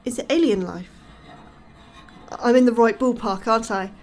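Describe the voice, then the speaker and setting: An anxious woman scientist, new to her job, played by an actress in a sci-fi horror podcast. Her voice sits a little high, and she sounds hesitant, wary and unsure. There is music behind her. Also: moderate pace, lightly acted. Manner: hesitant, uncertain, questioning. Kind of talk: audio fiction podcast acting.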